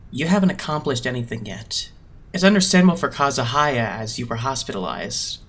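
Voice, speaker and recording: A man's voice: medium pitch, fairly bright tone, close to an everyday voice. Casting: male actor as haughty young agency boss, anime fandub; cool, formal, talks down to people. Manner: lecturing, condescending, formal. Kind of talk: anime game fandub acting.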